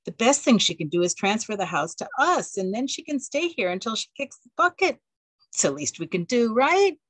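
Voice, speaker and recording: A woman's voice: fairly high pitch, sing-song, normal speed, a bit bright, husky, dry recording, pitched above the performer's own voice, heavily acted. Smug controlling husband, patronizing tone; a woman reads him; dry close mic. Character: controlling, condescending young husband.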